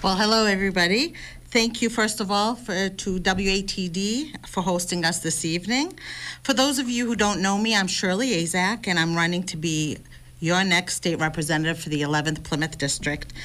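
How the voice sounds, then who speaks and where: A woman's voice: medium pitch, normal speed, a bit bright. Composed woman in her fifties. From Lebanon. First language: Lebanese Arabic. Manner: composed, reads a prepared text. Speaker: city councillor and candidate. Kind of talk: prepared debate opening statement.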